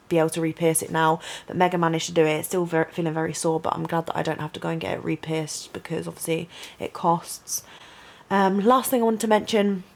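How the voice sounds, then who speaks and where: A young woman, a student vlogger, chatting casually in her bedroom with lots of "like" and "um" and a low voice. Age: young adult. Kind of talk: casual vlog chat.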